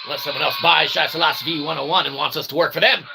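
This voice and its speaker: Creaky-voiced man rambling over clear noise. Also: a little high, fairly even intonation, rapid-fire. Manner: mock-pompous, wordy, repeatedly ending phrases with "okay". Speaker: channel host. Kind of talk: rambling philosophical comedy monologue.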